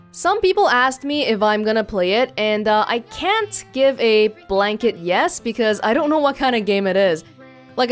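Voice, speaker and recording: A woman hosts a let's play in a casual, conversational style. Her voice sits at a middling pitch for a woman. Faint music plays behind her as she comments.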